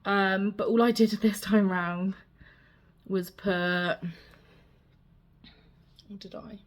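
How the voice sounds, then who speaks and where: A young woman with a slightly raspy voice, chatting casually as she shows her cross-stitch projects. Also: mid-range voice, steady tone, slow. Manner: chatty, rambling, self-deprecating. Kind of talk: casual show-and-tell chat.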